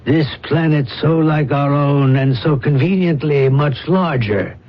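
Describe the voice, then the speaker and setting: Husky, calculating alien official. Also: male voice, a little high. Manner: measured, insinuating, formal. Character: cool, calculating male controller of an alien planet. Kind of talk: old-time radio drama acting. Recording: dull, muffled radio drama recording.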